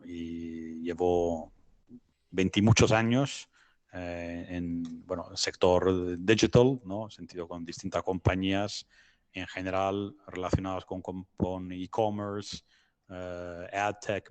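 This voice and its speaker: A middle-aged man from Italy with a low-pitched voice, recorded dry and close. He speaks Spanish as a non-native, explaining things conversationally as a guest, often halting and repeating words as he searches for the next one. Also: little pitch movement, a little mellow, no room sound. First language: not Spanish. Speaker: interview guest, business advisor.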